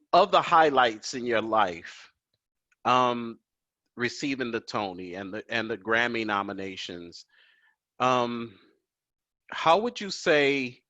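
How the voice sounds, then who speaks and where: A man's voice: mid-range voice, bright, forceful tone, dry recording. A man hosting a home video-call interview, admiring and deferential, often answering "yes, ma'am". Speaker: talk show host. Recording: dull dry video call audio.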